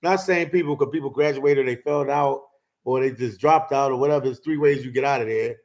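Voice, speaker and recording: A man's voice: mid-range voice, a little fast, a little mellow. A man in his forties hosting a casual livestream chat, telling stories in a laid-back way and dropping "man" and "you know" often. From Philadelphia. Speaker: host.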